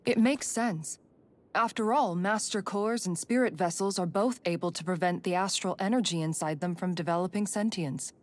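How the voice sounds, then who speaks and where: A composed, analytical woman from a fantasy game, as an actress plays her, reasons things out articulately. Her pitch is on the low side. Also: normal speed, natural voice. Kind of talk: dramatic video game cutscene acting.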